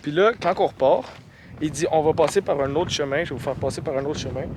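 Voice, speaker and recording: A man's voice: on the high side, fast. A young man with a Québécois accent chatting casually as a travel vlogger, outdoors with a handheld phone. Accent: Québécois French. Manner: chatty, laid-back, playful tour-guide patter. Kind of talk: casual travel vlog chat. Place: outdoors in a nature valley. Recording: loud outdoor noise.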